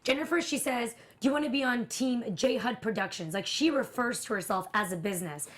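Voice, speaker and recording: A woman with a raspy voice co-hosting a TV after-show, giving candid, opinionated takes in casual panel chat. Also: fairly high pitch, brisk. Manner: candid, opinionated, talks at length.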